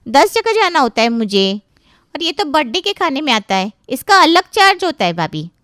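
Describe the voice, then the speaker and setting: Woman acting a brash, high-voiced, argumentative hired cook. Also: sing-song, normal speed, a bit bright, no room sound, studio quality. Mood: grumbling. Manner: complaining, talking back. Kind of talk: dramatized Hindi family story dialogue.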